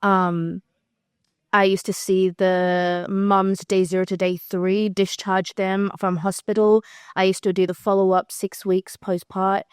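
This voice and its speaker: Woman, australian accent, twenties, smooth clear voice, dry close recording, casual podcast chat. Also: medium pitch, unhurried, no room sound. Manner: chatty, animated storytelling with acted-out quotes. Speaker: physiotherapist guest.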